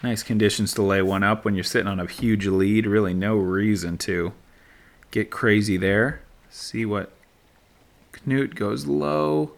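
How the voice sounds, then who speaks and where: Low, easygoing male sports commentator. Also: measured pace, vocal fry. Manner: easygoing, dry humor, thinking aloud. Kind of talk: relaxed play-by-play commentary. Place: voice-over, not at the course.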